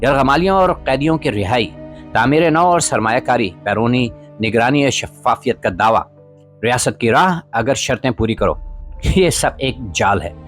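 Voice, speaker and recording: A man's voice: mid-range voice, lively intonation, moderate pace, fairly bright tone. A man narrating scripted political commentary as a podcast host, in a formal, literary manner with rhetorical emphasis. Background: background music.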